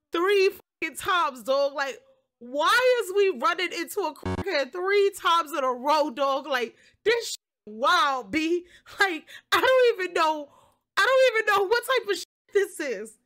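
A young woman telling a story casually on a livestream, expressive, slangy and sweary. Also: extremely high pitch. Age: young adult. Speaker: game streamer.

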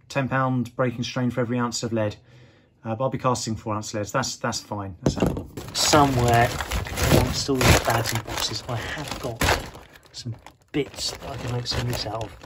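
A man, talking casually and instructively as a fishing vlogger. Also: medium pitch. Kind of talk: casual instructional vlog talk. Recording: clear background ambient noise.

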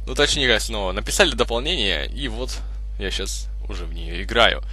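A young man hosting a gaming video with casual, halting, improvised gameplay commentary. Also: lowish voice, expressive, sharp tone, breathy edge. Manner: hesitant, halting, improvised phrasing.

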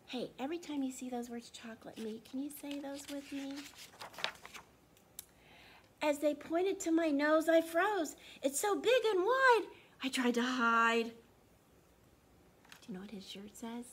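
A woman's voice: sits high, lively intonation, measured pace, pauses often, slight background noise. A woman in her sixties reading aloud to children at storytime, expressively, putting questions to them as she goes. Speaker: children's librarian storytime host. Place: small indoor room.

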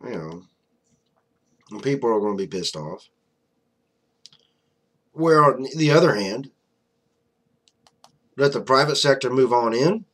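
A man's voice: mid-range voice, animated, a little slow, pauses often, fairly bright tone. Blunt young man ranting to a webcam. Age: young adult. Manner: blunt, conversational, many fillers like "you know". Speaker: vlogger. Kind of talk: casual political commentary to camera. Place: small room indoors, flag on wall behind. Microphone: webcam at desk.

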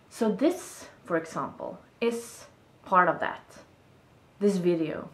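A woman's voice: on the low side, reverberant. A young woman with a Swedish accent, speaking English as a second language, talks through her thoughts to the camera in a small room, searching for words as she goes. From Sweden. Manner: thoughtful, searching for words, gestures while talking. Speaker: vlogger. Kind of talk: reflective casual monologue to camera. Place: small room indoors, seated on a bed.

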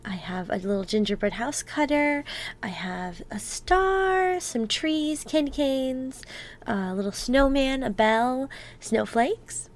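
A woman playing an affectionate, playful girlfriend in an ASMR roleplay, talking softly and intimately, sometimes close to a whisper. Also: sits high, lively intonation. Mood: cheerful, relaxed. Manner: soft, affectionate, unhurried, dropping into near-whispers.

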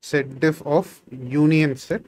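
A man's voice: fairly high pitch, fairly even intonation, takes it slowly, darkish tone. A man in his thirties whose first language is Tamil, giving a casual screen-share coding tutorial from a small room and thinking aloud with many fillers. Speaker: programming tutor.

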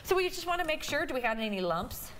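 A woman in her thirties with a Midwestern American accent talks through a kitchen recipe in a chatty, explanatory way, with frequent fillers. Her voice sits a little high. She is recorded on a lapel mic in a home kitchen set, with a faint steady hiss in the background. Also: fast. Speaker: dietitian guest. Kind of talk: cooking demonstration on morning TV.

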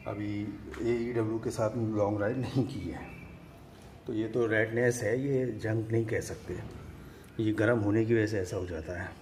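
Man, mellow tone, casual vlog explaining, phone recording at home, faint background noise. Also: lowish voice, steady tone, unhurried. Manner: conversational, hands-on explaining while demonstrating. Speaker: motorcycle vlogger. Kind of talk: casual product comparison vlog. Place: at home by marble staircase.

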